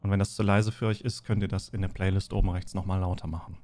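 A man running a tabletop game as game master, explaining and narrating in a deliberate way. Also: low-pitched, level tone, warm tone.

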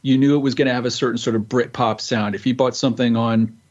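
A man's voice: mid-range voice, steady tone, fast talker, dull audio. A man guest telling stories in a casual interview, easygoing and rambling with lots of restarts. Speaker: guest author and musician.

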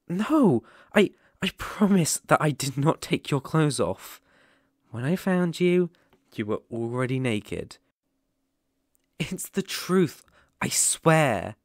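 A British man playing a gentle, protective lone werewolf in an ASMR roleplay, speaking haltingly and reassuringly into a close microphone. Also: mid-range voice, no echo. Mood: flustered, reassuring. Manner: soft-hearted, reassuring, halting and pausing. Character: gentle, protective male lone werewolf living in a forest cabin.

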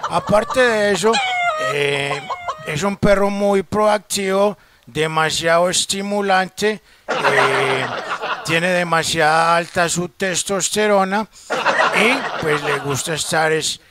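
A Colombian man making a comedic prank call, smooth-talking and full of pet names. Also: high voice, slow pace. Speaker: prank caller, show host. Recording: very noisy phone-call recording.

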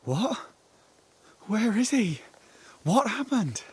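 A man playing a guilt-ridden, devout settler in a video game drama, with a breathy, halting, anguished delivery. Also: sits high, very animated, a little mellow, full character voice. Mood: alarmed. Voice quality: breathy, husky, breaking into whispers.

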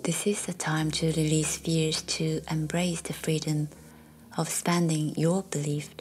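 Slow, low, hushed woman guiding meditation. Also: flat delivery. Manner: soft, soothing, with long pauses. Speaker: meditation guide. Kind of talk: guided meditation narration. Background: faint music.

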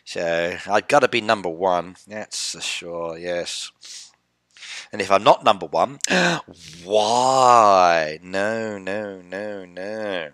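A middle-aged man talks casually into a headset mic while streaming a game, teasing his chat and joking about himself. His voice is low-pitched yet bright and forward, and the sound is close and completely dry. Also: very slow, no echo. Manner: chatty, playful, reads chat aloud and teases viewers. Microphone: headset with desk mic.

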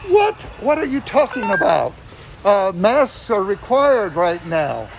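An indignant man argues as a customer. His voice is high and very animated in pitch, with slight noise in the recording. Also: slow pace, gravelly. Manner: indignant, argumentative. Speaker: store customer. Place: large hardware store.

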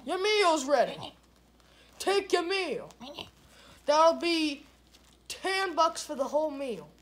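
A woman's voice: sits high, big pitch swings, slow pace. A woman doing an improvised comedy skit with plush toys on a living room couch, with a drawling, mumbly delivery. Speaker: puppeteer voicing plush toys.

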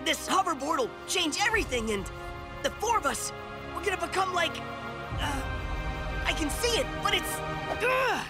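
An actor voicing an excitable, cocky teenage boy skateboarder with a grandiose, slangy way of talking. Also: very high voice, moderate pace, pauses often, over music. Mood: excited, grandiose. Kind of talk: cartoon voice acting.

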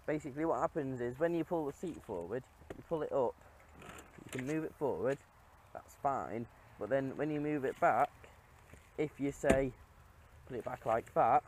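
A man chats casually about his car while recording on a head-mounted camera on a quiet rural lane. His voice sits at an ordinary middle pitch, and his delivery is relaxed and rambling, full of ums and restarts. Some faint outdoor noise is audible behind him. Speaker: car vlogger. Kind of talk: casual walkaround commentary. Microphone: head-mounted action camera.

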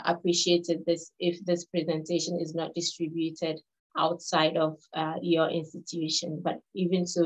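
Ghanaian woman speaking in a flat tone. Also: fairly low pitch. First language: not English. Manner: measured, with frequent pauses and restarts. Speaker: health researcher presenting. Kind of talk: webinar presentation. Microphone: video call. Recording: dull lo-fi webinar audio.

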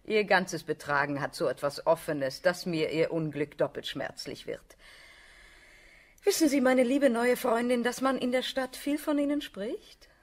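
An actress plays a mature countess in a period radio drama. Her mid-range voice is measured and formal. She is gracious and firmly persuasive, with an aristocratic condescension. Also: mid-range voice, fairly even intonation, close to an everyday voice. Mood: gracious, sympathetic.

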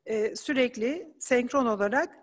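Woman presenter, slightly high voice, measured explanatory delivery, hall reverb. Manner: measured, explanatory, with hesitation fillers. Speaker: conference presenter. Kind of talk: academic slide presentation.

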